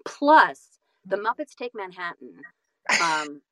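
A woman talking reflectively as an interview guest, animated and self-deprecating, often halting and repeating phrases for emphasis. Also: on the low side, no echo, poor audio. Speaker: guest, audiobook narrator and voice-over artist.

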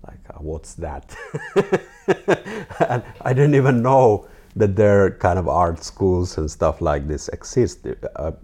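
A 42-year-old man from Finland with a Finnish accent, talking casually and haltingly as an interview guest. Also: very deep voice, warm tone, slightly rough. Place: artist's studio.

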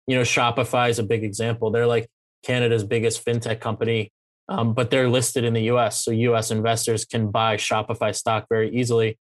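A man's voice: medium pitch, little pitch movement, brisk, no echo. A man hosting a conversational business interview, rephrasing his questions as he goes. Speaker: show host, interviewer.

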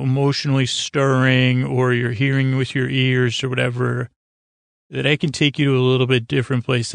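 A man speaks in a creaky, fry-heavy voice at a middling pitch, recorded very dry. He hosts a bedtime show in soothing, drawn-out tones. His delivery halts, circles back and drifts into deliberately dull, goofy tangents. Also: dry recording. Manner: hesitant, halting, circling back and trailing off. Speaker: podcast host. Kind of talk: rambling, meandering bedtime monologue to help listeners sleep.

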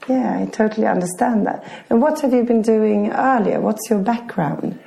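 A woman's voice: medium pitch, moderate pace, creaky, warm tone. A woman podcast host asking friendly interview questions with a few fillers.

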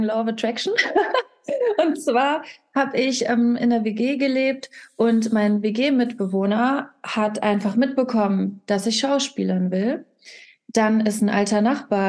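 A woman's voice: on the high side, darkish tone. Candid young woman mixing in English. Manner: candid, rambling, mixes English phrases. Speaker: guest. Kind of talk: casual podcast conversation.

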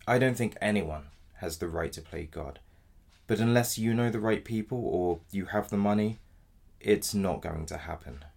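An actor in an audio drama playing a polite but blunt defence solicitor, gravelly and measured in his delivery. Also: on the low side, a little mellow. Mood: firm, matter-of-fact. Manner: measured, matter-of-fact, formally polite. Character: apologetic but blunt male defence solicitor in dystopian future.

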